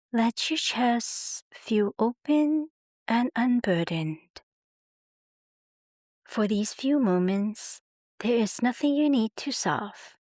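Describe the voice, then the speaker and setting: A woman narrating a guided meditation in slow, soothing, unhurried phrases with gentle pauses. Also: mid-range voice, dry recording, clean studio sound.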